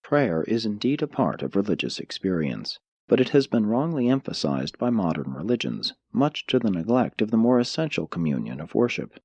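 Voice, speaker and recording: A man narrating an audiobook, reading formal scripted philosophical prose in a measured, declarative manner. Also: fairly low pitch, big pitch swings, a little mellow, breathy edge, no echo.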